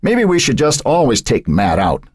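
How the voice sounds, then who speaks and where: A man's voice: mid-range voice, wildly swooping pitch, normal speed, slightly rough, no room sound, studio quality, voice pushed up. A man voicing a big teenage football player in an audiobook, blunt and defensive. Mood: suggestive, menacing. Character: big teenage football tackle, menacing but quick to backpedal.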